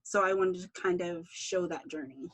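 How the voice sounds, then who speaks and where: A woman's voice: on the low side, moderate pace. A young woman giving casual, unscripted interview answers as a writer, thinking aloud in loose, informal fragments. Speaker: interviewee, writer and show creator.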